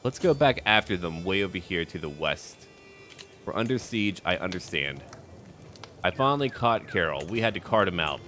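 A man with a deep voice narrates his strategy game as a roleplay. His pitch moves around expressively as he muses aloud in short, clipped remarks. Faint music plays behind him. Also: animated, normal speed, fairly bright tone. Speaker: gaming video host.

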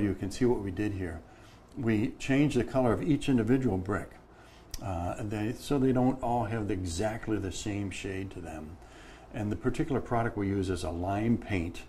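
A practical, plain-spoken man in his fifties explains his work as the guest of a studio interview. His voice sits low and mellow. He is picked up on a lapel mic with a faint hum of room noise behind him. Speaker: painting contractor guest. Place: bright studio set at a table.

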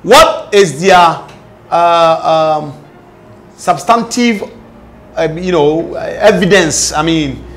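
High-pitched, emphatic Ghanaian man. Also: takes it slowly, a bit bright, slight background noise. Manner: emphatic, questioning, repeats phrases. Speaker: political commentator. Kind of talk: animated political discussion, switching between languages. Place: indoor studio set.

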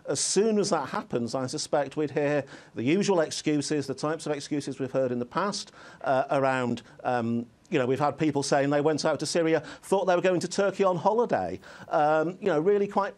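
A man's voice: fairly high pitch, moderate pace. Skeptical man on a remote link. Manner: articulate, skeptical, steady. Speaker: studio guest. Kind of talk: TV debate commentary.

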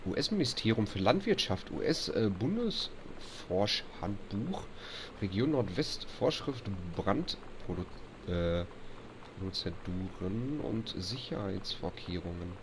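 A young man with a stuffy, allergy-blocked nose talks over gameplay, his voice sitting low. He reads game text aloud and grumbles when things break, with a faint hum behind him. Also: sing-song, slow. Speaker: let's play host. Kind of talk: casual gameplay commentary.

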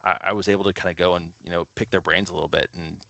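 A man talks casually as an interview guest. His voice is low and gravelly with fry, and the sound is close and dry. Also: steady tone, quick pace, a bit bright, dry recording, low-quality recording. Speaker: record label co-founder, interview guest.

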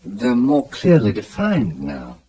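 An actor playing an earnest, attentive asylum doctor in a radio drama. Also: male voice, medium pitch, wildly swooping pitch, takes it slowly, dark tone, a little hiss or hum, poor audio. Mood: concerned.

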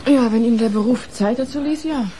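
A woman's voice: fairly high pitch, brisk, warm tone, slight background noise, lightly acted. A woman playing a guarded, curt and sardonic bereaved sister in a radio crime drama. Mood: guarded, reserved.

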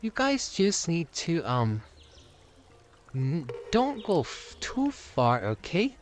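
A performer voicing a cautious male lifeguard who gives halting instructions in an amateur animated roleplay. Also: mid-range voice. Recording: noisy, clicky home recording.

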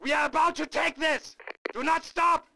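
An actor playing an urgent army squad leader, barking commanding callouts for a war game. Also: male voice, very high-pitched, fairly even intonation, normal speed, gravelly, sharp tone, a little hiss or hum, exaggerated voice, poor audio. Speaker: game announcer.

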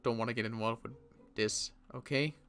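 A young man playing a protective survivor in an in-character roleplay, talking in a halting way with frequent restarts. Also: mid-range voice, steady tone, a bit bright, clear, resonant tone. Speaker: roleplay series player.

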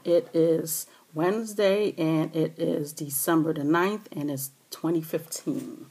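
Hoarse, low-voiced woman vlogging quietly. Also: steady tone, takes it slowly. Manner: talking low and hushed, rambling with many fillers. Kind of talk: casual direct-to-camera update. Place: small bedroom indoors. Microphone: webcam or laptop camera close up.

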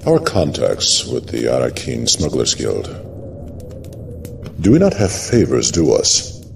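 An actor playing a stern, shrewd middle-aged noble lord in a game, speaking with authority. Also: male voice, extremely low pitch, huge pitch swings, slow pace, gravelly, background noise, full character voice. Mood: stern, calculating. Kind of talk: video game cutscene acting.

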